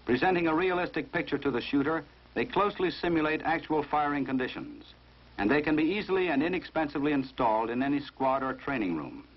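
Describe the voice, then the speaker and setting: An American man narrates a 1950s instructional film with measured, formal authority. His voice sits at an average male pitch and has a rough, husky edge. The sound is dull and muffled, like a vintage film soundtrack.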